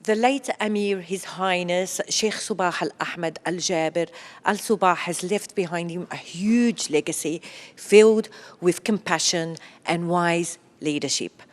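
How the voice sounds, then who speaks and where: A woman reporter reading a scripted news report in a formal, measured and emphatic manner. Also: lowish voice.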